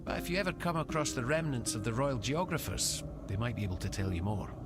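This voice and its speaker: An actor playing a cynical, shadowy guild member in a fantasy game, speaking in a measured, wry, secretive way. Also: male voice, mid-range voice, big pitch swings, natural voice. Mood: guarded, informative. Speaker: quest giver in a fantasy game. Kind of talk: scripted fantasy game dialogue. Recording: music behind the voice.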